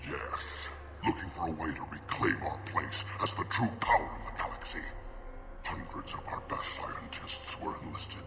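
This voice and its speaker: An actor playing a bitter, vengeful alien military officer in a video game, gravelly, intense and accusing. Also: male voice, a bass voice, expressive, normal speed, whispered. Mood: grim, bitter. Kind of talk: video game drama acting.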